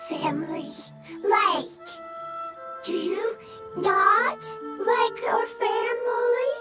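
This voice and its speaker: A woman voicing a tiny, innocent creature who talks in slow, broken short phrases. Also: extremely high pitch, exaggerated voice. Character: tiny childlike mushroom creature, simple and innocent. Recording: game audio with loud music. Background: game music.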